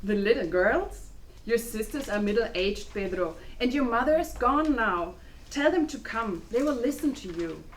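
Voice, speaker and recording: A woman acting a snobbish, fiery pregnant Cuban wife in a dramatic play reading, expressive, with Spanish exclamations mixed in. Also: a little high, a little slow. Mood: haughty. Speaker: student actor. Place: indoor room, live play reading. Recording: echoey room with faint background noise.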